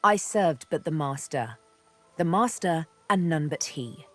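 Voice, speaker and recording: A woman's voice: low voice, expressive, measured pace. A woman voicing a sardonic, vengeful elf huntress for a video game, with arch, teasing, theatrical phrasing. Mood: wry, guarded. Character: sardonic, vengeful female elf, former slave turned huntress. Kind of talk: video game character dialogue. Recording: background music under the voice.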